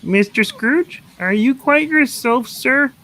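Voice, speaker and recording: A woman's voice: high voice, animated, takes it slowly, a bit bright, slight background noise, exaggerated voice. A woman voicing a wisecracking puppet who plays an apologetic clerk, with sarcastic muttered asides. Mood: alarmed, sarcastic.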